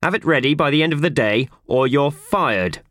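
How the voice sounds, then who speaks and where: A man's voice: on the high side, animated, moderate pace, a bit bright, dry recording, clean studio sound, voice pushed up. A man voicing an irate, domineering male office boss in a children's story, barking and squawking his orders. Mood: menacing.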